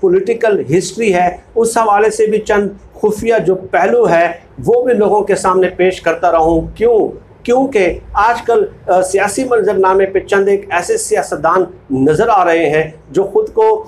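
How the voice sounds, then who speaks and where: A man's voice: high-pitched, a little slow, few pauses, darkish tone, a little hiss or hum. A man with a Pakistani accent hosting informal political commentary, speaking emphatically and repeating phrases for weight. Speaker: political commentary video host. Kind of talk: informal political commentary, reading book excerpts.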